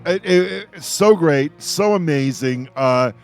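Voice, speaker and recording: A man hosting a radio roundtable, chatting as an enthusiastic fan in an effusive, halting way with repeated words. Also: fairly high pitch, expressive, faint music behind.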